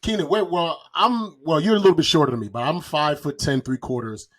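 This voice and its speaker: A man's voice: on the high side, fairly quick. A man in casual podcast conversation, talking in slang and joking at his own expense. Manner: slangy, self-deprecating joking. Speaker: podcast host. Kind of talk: casual chat.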